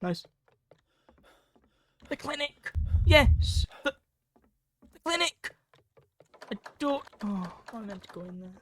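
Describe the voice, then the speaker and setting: A woman's voice: a little high, sing-song, slow, pauses often, a little hiss or hum. A woman giving casual, reactive commentary while playing a game, muttering to herself and swearing mildly at it. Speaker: gamer narrating own playthrough.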